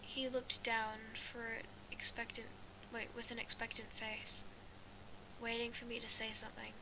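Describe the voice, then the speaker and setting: High-voiced woman reading aloud, noisy recording. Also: monotone. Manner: halting, stumbling and rereading phrases. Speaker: amateur fan fiction reader. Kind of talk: reading a first-person story aloud.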